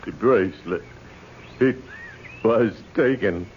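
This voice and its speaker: Grim, slow-spoken vengeful father. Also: lowish voice. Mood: defiant. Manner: solemn, terse, deliberate. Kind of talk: old-time radio drama acting. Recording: dull, hissy old radio recording.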